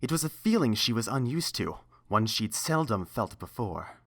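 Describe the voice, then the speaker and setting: A woman's voice: a bass voice, sing-song, moderate pace, slightly rough. A woman reading a scripted story aloud as the narrator.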